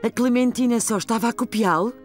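A woman's voice: a little high, fast. A woman with a European Portuguese accent reading scripted story narration for a children's cartoon. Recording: faint music behind the voice.

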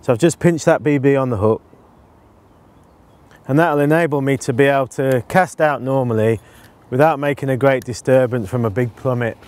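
A man in his forties explaining his canal fishing setup in a relaxed, casual way, with frequent ums, recorded outdoors on a lapel mic. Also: mid-range voice, moderate pace. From England. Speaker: angling presenter. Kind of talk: casual instructional talk to camera.

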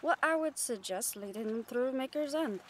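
A woman's voice: high-pitched, expressive, normal speed. A woman playing a fierce, loyal catgirl pirate first mate in a roleplay audio drama, earnest and plain-spoken. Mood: determined, defiant. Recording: voice over background music. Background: music.

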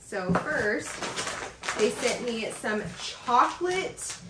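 A woman's voice: on the high side, expressive, drawn-out words, recorded from a distance, heavy background noise. Bubbly woman vlogging in a roomy office. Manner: bubbly and gushing, lots of fillers. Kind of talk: casual vlog chat, unboxing packages. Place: home office, indoors. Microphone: camera across a desk. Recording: roomy sound from off the mic.

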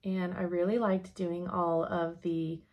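Young woman chatting at her desk in a craft room, a bit hoarse, low and gravelly, laughs a lot. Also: level tone, unhurried, highs cut off. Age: young adult. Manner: chatty, laughs often, thinks aloud while showing work. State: slightly hoarse. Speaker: cross-stitch vlogger. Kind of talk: casual chatty update. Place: craft room at home, indoors. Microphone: camera in front at desk.

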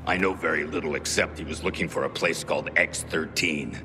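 A man's voice: a bass voice, steady tone, brisk, over music. An actor playing a composed, calculating middle-aged male military commander in a video game. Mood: measured. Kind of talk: dramatic video game acting.

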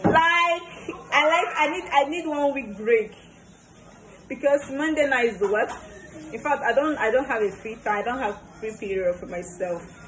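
A woman's voice: sits high, normal speed, a bit bright. Nigerian young woman chatting, noisy background. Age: young adult. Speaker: cooking vlogger. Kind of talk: casual vlog chat. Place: small kitchen at home, indoors. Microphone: phone at arm's length. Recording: noisy room ambience.

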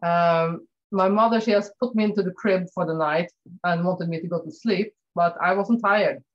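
A woman's voice: fairly low pitch, normal speed, dull audio. A woman in her fifties from Norway, a non-native English speaker, talking hesitantly with many fillers as an interview guest. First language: Norwegian. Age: 50s.

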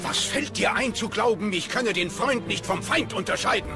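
Haughty high-voiced Roman commander. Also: male voice, moderate pace, music in the background. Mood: indignant. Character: proud, indignant Roman commander in ancient times. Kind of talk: dramatized documentary acting.

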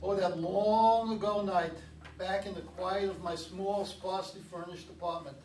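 A man's voice: sits high, steady tone, normal speed, a little mellow, close to an everyday voice. An older man playing a wary, regretful grandfather in a staged, dramatic script reading. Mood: reflective. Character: wary, regretful father and grandfather, a lonely driver. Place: meeting room with long table, indoors. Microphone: far camera. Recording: roomy, distant mic, background room noise.

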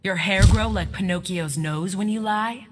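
A woman's voice: medium pitch, moderate pace, lightly acted. Actress playing a young army girl fighter, confident, punchy comebacks, game voice, noisy bg. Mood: confident, wry. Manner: firm, punchy retorts. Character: tough, confident young female soldier fighter. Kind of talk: video game dramatic voice acting.

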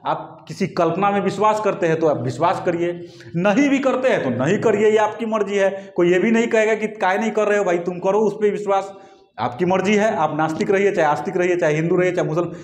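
An echoey room carries the high voice of a male teacher with a Bihari accent. He explains things in a colloquial lecture style, repeating his points and speaking directly to his students. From Bihar, India. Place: small indoor classroom with whiteboard. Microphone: lapel mic.

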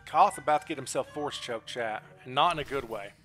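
A man's voice: mid-range voice, brisk, bright, forceful tone. A man streaming a game with casual live commentary in a playful, teasing manner. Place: indoor room at a streaming setup. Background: game music.

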